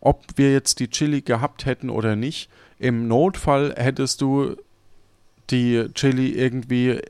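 A man hosts a casual post-show podcast chat. His pitch is average and his explanations ramble. The recording is dry, with very little room sound. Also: fairly even intonation, a little slow, a little mellow, clean tone, dry recording. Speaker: podcast host.

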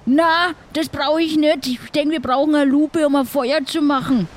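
A man's voice: very high-pitched, steady tone, moderate pace. Man playing a chatty southern German pirate. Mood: eager, puzzled. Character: cheeky, chatty male pirate, easily indignant. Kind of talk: improvised audio play.

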